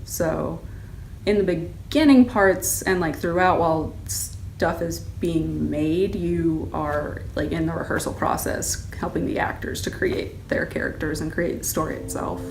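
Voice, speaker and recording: A woman's voice: low-pitched, a little slow, darkish tone. A young woman answering casually as an interviewed theater director, in loose phrasing full of "like"s. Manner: loose, filler-heavy phrasing with many "like"s. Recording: roomy echo with faint background noise.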